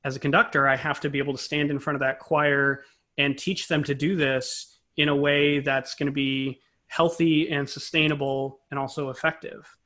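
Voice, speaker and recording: A man's voice: a little high, flat delivery, normal speed, fairly bright tone. A man speaking as an interview guest, a composer and conductor explaining things conversationally in a thoughtful, self-correcting way.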